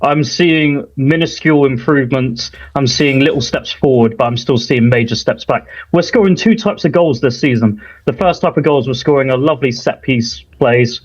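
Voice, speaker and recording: A man from Thailand, a football fan guest, talking frankly and laughing easily in a lively chat. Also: medium pitch, moderate pace, few pauses, dull audio. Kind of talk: animated call-in chat.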